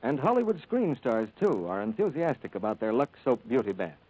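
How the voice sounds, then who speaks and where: A man announcing on 1940s radio in a polished, scripted sales style, as host and soap pitchman. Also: big pitch swings, a little fast, mellow, poor audio.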